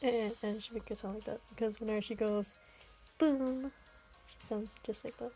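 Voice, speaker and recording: A woman's voice: medium pitch, little pitch movement, quick pace, warm tone, faint music behind. A woman reading a game story aloud, breaking in with casual, self-deprecating asides. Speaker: story reader and video host. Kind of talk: casual commentary between read lines.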